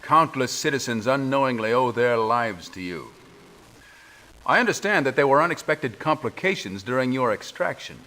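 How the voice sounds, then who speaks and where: A middle-aged man playing an authoritative resistance commander giving a formal, deliberate briefing in a video game. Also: mid-range voice, expressive, bright, forceful tone. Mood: grave, formal.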